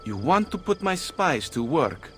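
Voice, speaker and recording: An actor playing a suspicious, sardonic master thief in a video game cutscene. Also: male voice, a little high, big pitch swings, unhurried, faint music behind. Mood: sly.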